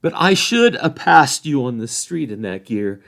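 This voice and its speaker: Male reader as a bluff, hearty old farmer hobbit, booming and countrified. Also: very animated, a little slow, vocal fry, exaggerated voice. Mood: surprised. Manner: loud, bluff country talk.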